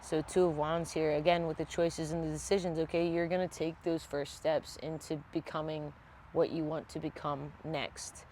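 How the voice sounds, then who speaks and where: Casual tarot vlogger, congested, mid pitch, laid-back, swears freely, faint hum of noise behind. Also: level tone, normal speed, darkish tone. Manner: casual, blunt and profane. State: congested from a sinus infection. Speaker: tarot reader. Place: at home.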